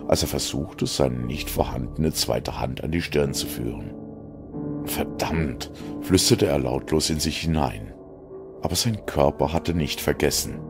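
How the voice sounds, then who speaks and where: A man narrating a dark story as a dramatic audiobook, with brooding, theatrical delivery. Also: a bass voice, vocal fry. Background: music.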